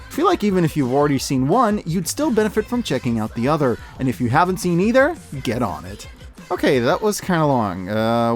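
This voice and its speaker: A man giving an enthusiastic, opinionated scripted review as a video host, with expressive conversational asides. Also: a little high.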